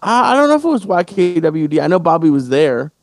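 A man hosting a casual podcast, talking in halting bursts with many restarts and fillers. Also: fairly high pitch, very animated, darkish tone. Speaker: podcast host and stand-up comic.

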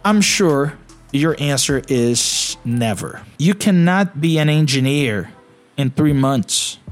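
Brazilian-accented man teaching English. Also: a little high. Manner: encouraging, asks listeners questions, frequent "you know" and "okay?". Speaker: English teacher and podcast host. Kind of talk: conversational teaching podcast.

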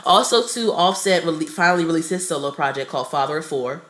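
A young woman with a low-pitched but bright, forceful voice trades opinions in casual podcast chat. She swears freely and strings thoughts together in drawn-out, hesitant runs. A cold leaves her slightly nasal. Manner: profane, animated, drawn-out and hesitant. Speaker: podcast co-host.

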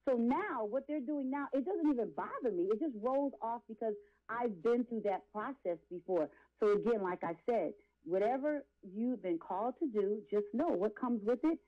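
A woman's voice: fairly high pitch, animated, normal speed, highs cut off. A woman in her late forties giving testimony as an interview guest, speaking in an impassioned, preachy, emphatic way with repeated phrases. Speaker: guest, R&B and gospel singer.

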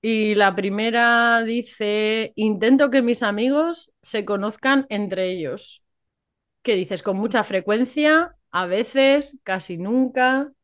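A woman's voice: a little high, slow. A woman from hosting a casual podcast, chatty and often checking for agreement with little tag questions. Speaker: podcast host.

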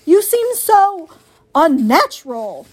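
A woman's voice: very high-pitched, very animated, slow, darkish tone, pitched above the performer's own voice, exaggerated voice. A woman voicing a bossy, alarmed and suspicious owl in a storybook, declaiming emphatically. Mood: hostile.

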